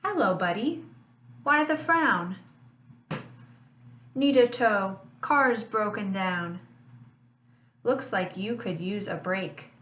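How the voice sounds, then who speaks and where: A woman teacher reading a rhyming children's picture book aloud in a slow, sing-song way, with lively honks. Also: medium pitch, a little hiss or hum. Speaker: family literacy teacher. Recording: echoey room, voice a bit far from mic.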